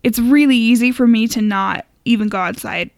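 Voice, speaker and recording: A woman's voice: a little high, a little fast, creaky, darkish tone, no room sound, clean studio sound. A woman hosting a podcast in casual, reflective conversation. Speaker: podcast host. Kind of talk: casual chat.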